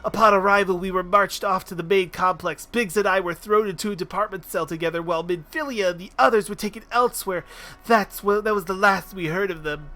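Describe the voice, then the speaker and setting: A small, chirpy male mechanic as a man puts him on, high and ringing in tone, who recounts events and trips over his own words. Quiet game music plays underneath. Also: fairly quick. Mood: recounting. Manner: storytelling, stumbling over words.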